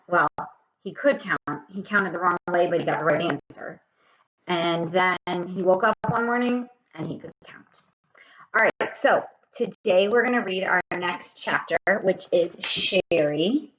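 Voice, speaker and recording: A woman reading a children's story aloud in an expressive way, speaking straight to young listeners. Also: on the low side, measured pace, dull audio. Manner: expressive, addresses children directly. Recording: roomy echo with faint noise.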